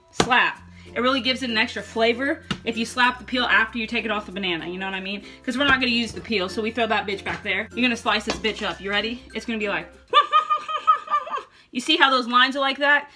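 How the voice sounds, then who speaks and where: A young woman clowns her way through a cooking tutorial in her home kitchen, swearing nonstop with a brash, mock-expert delivery. Her voice sits a little high, and she talks to a camera set up in front of her. Loud music plays underneath her talk. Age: young adult. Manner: brash, profane, mock-instructional. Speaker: vlogger. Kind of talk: comedic cooking vlog. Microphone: camera in front at table height. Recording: music playing loudly behind.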